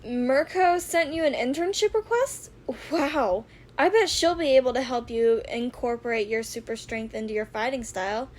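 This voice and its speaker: A woman voicing an eager, excitable teenage boy inventor in a fan audio drama, speaking in an earnest, emphatic way. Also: a little high, moderate pace, natural voice. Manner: emphatic, earnest, easily worked up.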